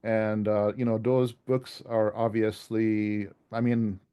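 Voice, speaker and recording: A man's voice: fairly low pitch, level tone, takes it slowly, mellow, clear, resonant tone. A man giving an informal slide presentation as an author, speaking in a conversational, self-deprecating way.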